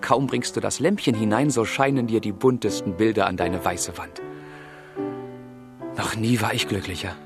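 An actor playing a passionate, lovesick young gentleman in a German radio drama, with a fervent, confiding delivery and a husky voice. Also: lowish voice, moderate pace, over music, lightly acted. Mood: rapturous, tender.

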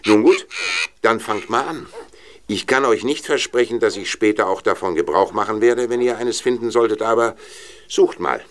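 An actor playing a commanding older film director in an audio drama, speaking in a deliberate, businesslike way. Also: male voice, on the low side, close to an everyday voice. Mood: gruff, cautious. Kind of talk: German audio drama dialogue.

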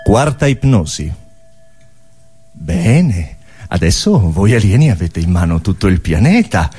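An actor playing a theatrical hypnotist, inquisitive and exaggerated in intonation, in an absurdist comic stage dialogue. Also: male voice, low-pitched, very animated, unhurried, mellow, slightly rough, faint music behind, full character voice. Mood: probing. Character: theatrical hypnotist questioning a nihilist alien.

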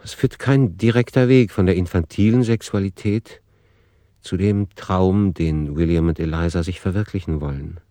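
Male radio play narrator: deep register, factual, analytical, no room sound. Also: normal speed, warm tone, no echo, clean studio sound.

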